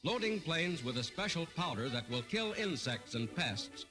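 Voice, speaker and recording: An American man narrates in classic 1940s newsreel style over a loud musical score. His voice sits fairly high and moves with great animation. The delivery is formal, dramatic and declamatory, on a vintage wartime soundtrack. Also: sing-song, normal speed, music in the background.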